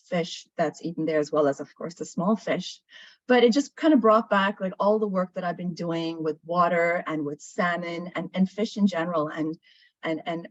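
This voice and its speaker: A woman's voice: on the low side, dry recording, lo-fi sound. A woman from Sri Lanka, raised in Dubai, giving a webinar talk in a storytelling way, with many fillers and restarts. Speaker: guest presenter. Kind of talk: webinar presentation.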